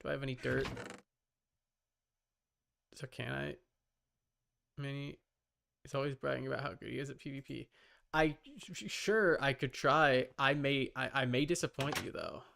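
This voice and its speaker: Halting man with creaky voice, streaming casually. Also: mid-range voice, steady tone, a bit bright, slight background noise. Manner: halting, rambling, muttering to himself. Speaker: livestreamer. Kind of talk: casual gameplay chat with viewers.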